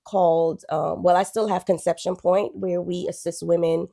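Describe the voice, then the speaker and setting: A woman in her forties from Guyana, a guest telling her life story in a relaxed, chatty way. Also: lowish voice, moderate pace, creaky. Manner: relaxed, chatty, with frequent "um" and "you know".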